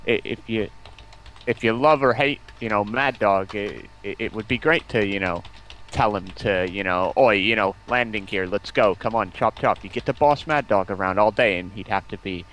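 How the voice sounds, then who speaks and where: A man chatting casually on a stream, halting and often repeating his words. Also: lowish voice, fairly bright tone, slight background noise.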